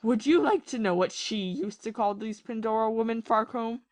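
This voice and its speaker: A woman playing a bitter, lovesick, ruined ex-army captain in a period audio play, confessing passionately and haltingly. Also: fairly high pitch, lively intonation, darkish tone, no echo, natural voice. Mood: anguished. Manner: confessional, impassioned, halting with repeated phrases.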